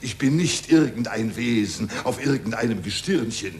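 A man's voice: medium pitch, animated, moderate pace, close to an everyday voice. An actor playing an indignant, self-important churchman in a declamatory 1940s German radio play. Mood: indignant, grandiose. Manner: pompous, declamatory. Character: indignant, self-important churchman defending an earth-centred universe.

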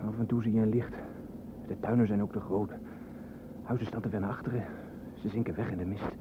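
A man's voice: lowish voice, fairly even intonation, fairly quick, lightly acted. An actor playing an uneasy man travelling as a car passenger in a radio play. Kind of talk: radio drama acting. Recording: vehicle noise behind.

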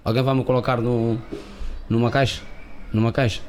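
A man from Cape Verde speaks as an interview guest, at a middling pitch. He talks emphatically and repeats words while searching for them. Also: little pitch movement, moderate pace, slight background noise. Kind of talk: interview conversation.

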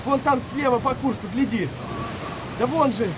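An actor playing a young, frightened and inquisitive sailor in a wartime audio play, with a colloquial, excitable way of talking. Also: male voice, extremely high pitch, normal speed, noisy background. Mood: alarmed.

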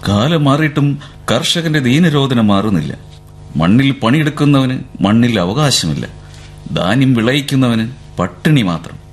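An actor playing a fiery speaker addressing a farmers' rally from a distance. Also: male voice, medium pitch, lively intonation, measured pace, faint music behind. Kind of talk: public speech.

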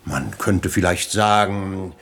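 An actor playing a pedantic, self-important philosophy tutor in a radio comedy, lecturing and declaiming in a measured, flattering way. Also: male voice, low voice. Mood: declaiming, obliging. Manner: didactic, measured, ornately declaiming. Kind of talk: 1960s German radio comedy acting.

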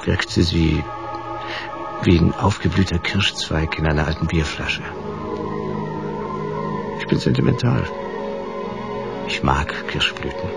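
Very deep, gravelly noir detective. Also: male voice, takes it slowly, pauses often, loud music behind the voice, full character voice. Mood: wry, musing. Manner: laconic, deadpan, dry. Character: world-weary, wry male private detective narrator. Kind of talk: noir first-person radio play narration.

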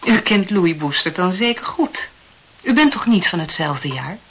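An actress playing a cool young lady in a radio play, polite and formal, questioning with a gentle tease. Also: lowish voice, expressive, moderate pace, breathy edge. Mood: probing.

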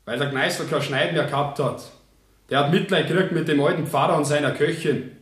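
A blunt Bavarian convict, acted by a man answers in a radio play with brazen defiance. His voice is fairly high for a man and echoes in the room. His replies are clipped and unrepentant. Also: fairly even intonation, fairly quick, natural voice. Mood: defiant. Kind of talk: German radio play courtroom acting. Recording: echoey 1970s radio drama studio.

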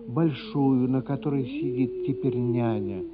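An actor as a grave storyteller, narrating a radio drama in a measured, dramatic way with deliberate pauses. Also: male voice, mid-range voice, wildly swooping pitch, background noise. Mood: hushed, grave. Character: grave male storyteller of a childhood tale. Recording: muffled narrow radio sound, music behind.

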